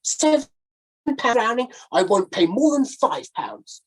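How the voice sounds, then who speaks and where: A prim, exasperated gentleman, as a woman storyteller puts him on, with a husky voice that jumps up sharply in disbelief. He sounds fussy and put upon. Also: fairly high pitch, animated, measured pace, pitched above the performer's own voice.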